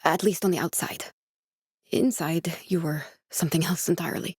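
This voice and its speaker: A woman voicing an earnest, guarded young female scientist survivor in a video game, speaking in a measured, serious way. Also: lowish voice, steady tone, a little mellow, slightly rough, no echo, clean studio sound. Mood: earnest, explanatory. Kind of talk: dramatic video game dialogue.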